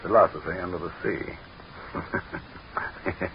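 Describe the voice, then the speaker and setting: A haughty head of state in a vintage radio play. His voice is very deep, and his delivery is pompous and sardonic, musing one line and commanding the next. The old recording is muffled, with steady hiss. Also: unhurried. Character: imperious, sardonic head of state, addressed as Your Excellency. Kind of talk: 1940s radio drama acting.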